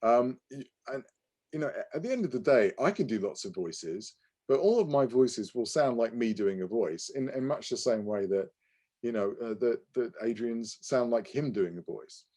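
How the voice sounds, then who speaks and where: A man co-hosting a podcast, talking casually in an animated, digressive way as he reviews things. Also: medium pitch, moderate pace. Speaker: podcast co-host.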